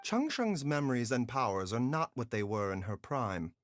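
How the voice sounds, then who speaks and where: A man's voice: mid-range voice, very animated, no room sound, lightly acted. Man as a courteous, lilting scholarly physician. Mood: gently apologetic. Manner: measured, polite, formal. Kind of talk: video game voice acting.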